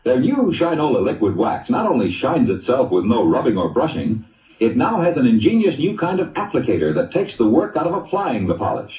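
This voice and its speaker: Lively vintage ad announcer, muffled sound. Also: male voice, medium pitch, brisk, recorded from a distance. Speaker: commercial announcer. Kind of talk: vintage radio advertising pitch. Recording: muffled, roomy old radio recording.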